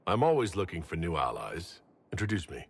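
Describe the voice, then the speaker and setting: A man's voice: low voice, big pitch swings, brisk, vocal fry, slightly rough, heavily acted. An actor playing a resolute male leader of a fantasy resistance in a video game cutscene. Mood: composed.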